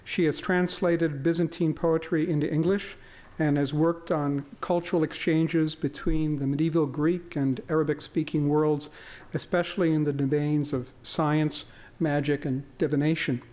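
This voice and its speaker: A man host giving a formal, measured introduction of a guest speaker. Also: on the high side, little pitch movement, a little mellow. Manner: measured and courteous.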